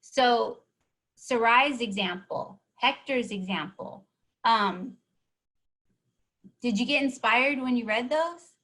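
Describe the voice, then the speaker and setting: A woman's voice: fairly high pitch, takes it slowly, pauses often, bright, forceful tone. A woman presenting a workshop, encouraging and explaining step by step, often checking in with the audience. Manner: encouraging, keeps asking "right?". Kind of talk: teaching a live online workshop.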